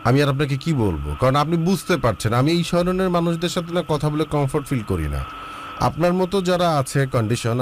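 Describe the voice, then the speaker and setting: A man's voice: a little high, a little hiss or hum. A man hosting a love advice show, questioning a caller bluntly and impatiently. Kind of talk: counseling a caller.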